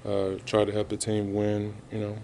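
Subdued low-voiced man at a postgame presser. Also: completely flat delivery, creaky, warm tone, slight background noise. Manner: halting, many fillers, subdued and measured. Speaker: basketball player at a postgame press conference. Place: arena press room, indoors. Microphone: reporters' handheld mics held close.

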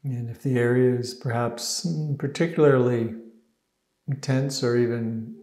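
Male meditation teacher, very even and soft-edged tone, soothing and unhurried, in a roomy echo. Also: medium pitch. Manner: unhurried, gentle pauses, soothing. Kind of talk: guided meditation instruction. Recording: echoey, roomy sound.

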